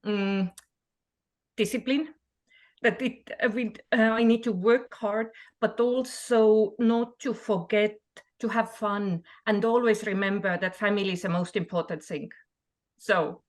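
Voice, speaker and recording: A woman's voice: medium pitch, steady tone, a little slow. A middle-aged woman from Estonia, speaking conversationally as a panelist on a webinar. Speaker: sales leader on a panel. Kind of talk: conversational self-introduction on webinar. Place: online video call from an office.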